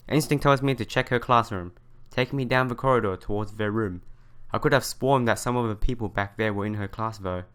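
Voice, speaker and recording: A man's voice: mid-range voice, a bit bright. A young man reading visual novel narration aloud as a let's player. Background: music behind the voice.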